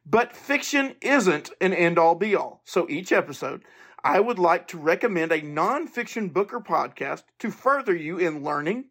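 A man's voice: high-pitched, a bit bright. A man hosting a podcast, speaking informally and earnestly in a monologue to listeners. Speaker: podcast host.

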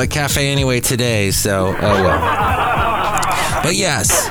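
A man hosting a solo podcast in a rambling, digressive way, with playful puns. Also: medium pitch. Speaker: podcast host.

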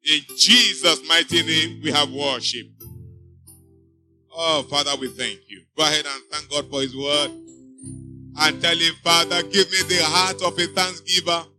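A man's voice: on the high side, steady tone, a little slow, over music. A man preaching a sermon to a church congregation. He exhorts the listeners with emphatic, repeating refrains. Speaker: pastor. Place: church before a live congregation.

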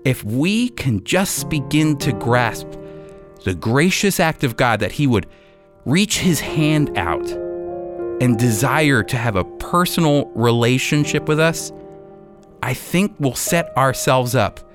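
A man's voice: medium pitch, lively intonation, takes it slowly, music in the background. A man giving sermon-like Bible teaching as a radio host, in an earnest, emphatic manner.

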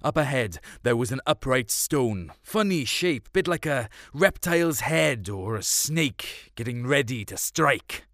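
A man's voice: mid-range voice, animated, measured pace, few pauses, fairly bright tone, dry recording, clean studio sound. A man reading a first-person story in a colloquial, melancholic way. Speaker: short story narrator.

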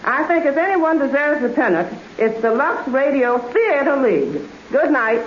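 A woman's voice: sits high, sing-song, normal speed. A woman, a radio guest in teasing scripted banter. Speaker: celebrity's wife, radio guest. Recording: muffled, echoey, noisy 1930s radio.

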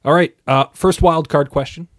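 A man's voice: fairly high pitch, steady tone, moderate pace. A man co-hosting a podcast, interviewing casually with wry, playfully formal phrasing. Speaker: podcast co-host. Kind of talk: casual quick-fire interviewing.

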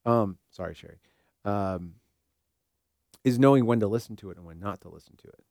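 A man with a fairly low voice chats reflectively as a co-host. He is tentative and reasons things out as he goes. The recording is dry and free of room sound. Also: a little fast, vocal fry, a little mellow, dry recording. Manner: tentative, thinking aloud. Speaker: therapist co-hosting a talk show. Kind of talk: casual reflective discussion.

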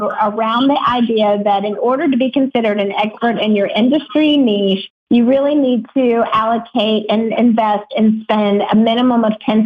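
A woman co-hosting a radio show, bubbly and playful, laughing often as she introduces the episode. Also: on the high side. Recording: dull lo-fi audio.

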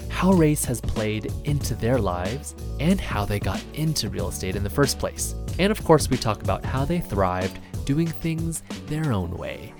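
A man hosting a podcast, reading an upbeat scripted intro and asking interview questions. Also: on the low side. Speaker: podcast host.

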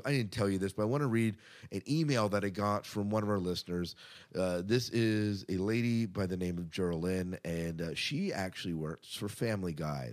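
A man with a fairly low voice hosts a podcast. He chats casually and loosely around his notes, announcing things in an upbeat, talkative way. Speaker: podcast host. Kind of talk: casual scripted podcast chat.

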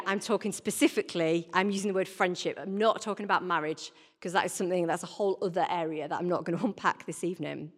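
A woman speaks in a reverberant church hall at an average pitch. Her sermon is informal and conversational, and she often turns to her listeners with rhetorical questions. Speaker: church warden giving a talk. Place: large indoor church. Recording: reverberant hall, live talk.

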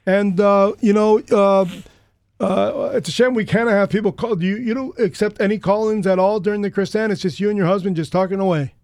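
A man's voice: sits high, steady tone, a little fast, a little mellow, dry recording. A man hosting a radio talk show, chatting casually and joking with his co-host. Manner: joking, teasing.

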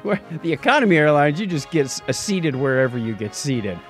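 Animated man hosting a casual podcast. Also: on the high side, brisk, faint music behind. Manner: wry, jokey explainer. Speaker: podcast host. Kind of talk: casual news chat with banter.

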